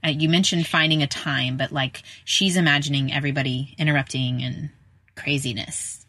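Low-pitched, bright woman podcast host. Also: steady tone, moderate pace, no room sound. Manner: chatty, informal, peppered with "like". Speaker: podcast host, speech-language pathologist. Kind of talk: casual conversational interview.

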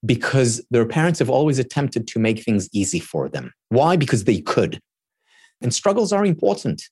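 A man's voice: medium pitch, very animated, normal speed, darkish tone, husky, dry recording, clean studio sound. A man whose first language is Hebrew, a guest giving earnest explanations in an interview and often repeating words as he thinks. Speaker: guest expert. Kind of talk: thoughtful interview talk.

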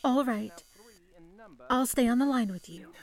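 A woman playing a polite, anxious young telephone operator in an old-fashioned radio drama, with courteous, soft-spoken reassurance. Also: a little high, lively intonation, a little slow. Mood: calm, apologetic. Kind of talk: 1940s radio drama acting.

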